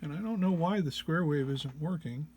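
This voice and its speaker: A man giving an informal technical walk-through as a presenter, sounding low-energy and under the weather, with a hesitant, halting delivery. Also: darkish tone, faint music behind. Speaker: presenter demonstrating an audio effects patch. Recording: clear background noise, single mono microphone.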